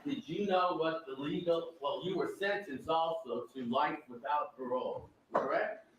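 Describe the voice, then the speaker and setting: A man's voice: fairly high pitch, normal speed, slight background noise. Man cross-examining in an echoey courtroom. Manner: pointed, leading questions. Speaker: defense attorney.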